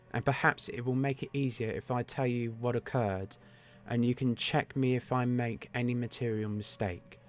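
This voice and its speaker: A man reading aloud, voicing a calm, precise Victorian detective in a measured, deliberate way. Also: medium pitch, lightly acted.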